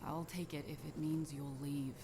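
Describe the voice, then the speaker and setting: A woman plays a guarded warrior who lives alone, in an animated tale. She speaks low, in terse and reserved phrases. There is clear background noise around the voice. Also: normal speed, close to an everyday voice. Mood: stern, guarded. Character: guarded lone warrior woman, weary protector of villagers. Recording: noisy ambience behind the voice.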